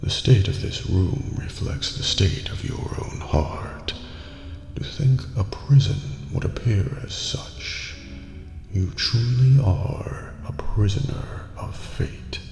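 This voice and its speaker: Eerie old master of a dream prison , acted by a man: slow, dark, formal and ominous, gravelly ASMR near-whisper. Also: lowish voice. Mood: ominous, solemn. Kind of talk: scripted ASMR roleplay. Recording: echoey hall reverb with background music.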